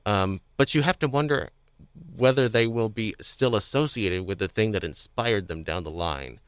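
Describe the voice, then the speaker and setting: A man hosting a podcast, talking casually about audio dramas in a halting, hedging way and often chuckling. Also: lowish voice, lively intonation, a bit bright, smooth, no echo. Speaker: podcast host.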